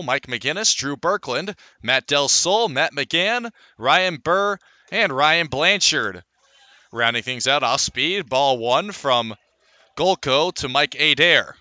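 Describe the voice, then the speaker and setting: A man calling live baseball play-by-play as a radio announcer, filling the gaps between pitches with measured runs of stats. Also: expressive, bright, forceful tone, dry recording. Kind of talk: live sports play-by-play commentary.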